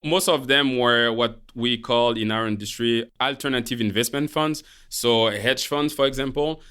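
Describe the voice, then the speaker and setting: A man from Haiti with a Haitian French accent, calmly explaining his career as a guest on a finance podcast. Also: medium pitch, normal speed, clear, resonant tone. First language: Haitian Creole. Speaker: guest, portfolio manager. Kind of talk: podcast interview.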